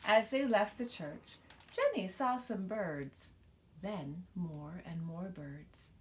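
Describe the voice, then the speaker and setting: A woman reading a children's picture book aloud, expressively, with dramatic pauses and emphasis. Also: lowish voice, lively intonation, a little hiss or hum. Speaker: storybook reader.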